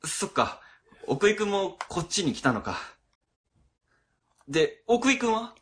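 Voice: mid-range voice, big pitch swings, unhurried. An actor playing an anxious, placating government section chief in a radio drama, speaking in a hesitant, conciliatory way. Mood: troubled. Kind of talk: web radio drama acting.

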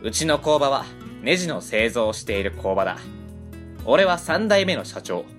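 A woman's voice: rumbling low, sing-song, moderate pace, soft background music. A woman playing a laid-back Kansai factory boss. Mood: reflective. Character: easygoing 39-year-old male small-factory owner. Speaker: narrator and lead character. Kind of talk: amateur web radio drama.

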